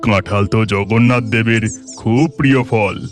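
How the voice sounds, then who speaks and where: A man's voice: on the low side, measured pace, soft background music, lightly acted. A man voicing a mild, helpful village farmer husband in a Bengali cartoon. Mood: helpful, agreeable.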